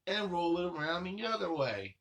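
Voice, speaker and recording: A man hosting a children's session, guiding movement with encouraging, drawn-out instructions. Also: high-pitched, sing-song, fairly bright tone. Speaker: children's show host leading warm-up exercises.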